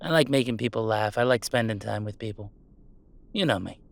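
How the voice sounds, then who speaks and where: A man's voice: on the low side, a little fast, creaky. A man voicing a playful, self-deprecating werewolf streamer in a one-sided roleplay audio drama, full of teasing banter and dramatic sarcasm. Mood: easygoing. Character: wisecracking young male werewolf streamer, affectionate and self-deprecating.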